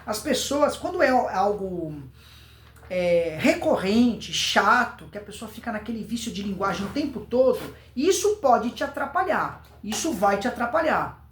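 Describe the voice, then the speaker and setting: A Brazilian man giving an informal public speaking lesson, emphatic, repeating words and answering his own questions. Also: very high voice. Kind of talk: informal instructional video to camera. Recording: roomy, echoing voice recording.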